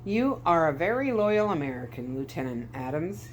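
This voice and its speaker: A woman voicing a gloating, talkative male Soviet KGB skipper in a storybook read-aloud, smug, deliberate and theatrical. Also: low-pitched, big pitch swings, slight background noise. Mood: smug explaining.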